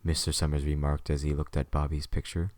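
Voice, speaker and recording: A man's voice: rumbling low, level tone, fairly quick, a little mellow, no echo, clean studio sound. A man reading scripted third-person story narration as the narrator of an audio drama.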